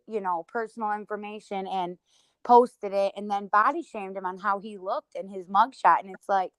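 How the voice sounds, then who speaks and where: A woman's voice: mid-range voice, moderate pace, no echo. A woman in casual livestream conversation, talking emphatically and peppering her speech with "you know".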